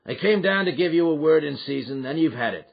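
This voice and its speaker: A narrator acts out a big, bullying lodge boss from an old American crime story. The voice is husky and swings widely, pitched up and pushed loud. He is blunt and domineering, full of folksy menace. The sound is a bit dull. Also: lively intonation, brisk. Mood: menacing. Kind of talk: audiobook character acting.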